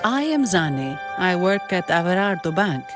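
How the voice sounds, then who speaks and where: Low-pitched, composed woman. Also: very animated, moderate pace. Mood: dry, polite. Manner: matter-of-fact, understated. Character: composed, weary female bank employee and guide. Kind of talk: video game voice acting. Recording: loud music behind the voice.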